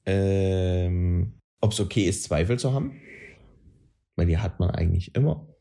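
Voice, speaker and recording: A man's voice: low voice, animated, measured pace, vocal fry, mellow. A man with a Saxon German accent chatting casually on a podcast, rambling, correcting himself and using blunt slang. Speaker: podcast co-host. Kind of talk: casual chat.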